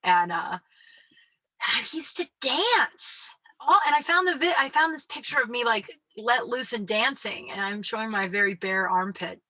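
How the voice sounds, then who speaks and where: A woman's voice: on the high side, expressive, moderate pace, pushed and bright, no room sound, rough recording. A young woman hosting her own podcast, talking candidly and casually, swearing freely and using lots of filler words. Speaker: podcast host. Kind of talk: casual chat.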